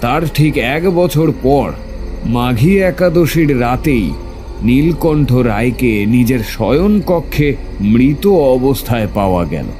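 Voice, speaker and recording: A man playing a fearful heir of a cursed landlord family, telling his tale in a grave, drawn-out way in a horror audio drama. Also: mid-range voice, animated, warm tone, slight background noise. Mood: ominous storytelling.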